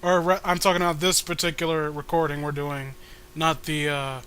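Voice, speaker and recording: A man's voice: fairly high pitch, level tone, normal speed, a bit bright, a little hiss or hum. A man co-hosting a comic read-along with casual, crude banter, swearing and rambling as he jokes. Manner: profane, joking, rambling.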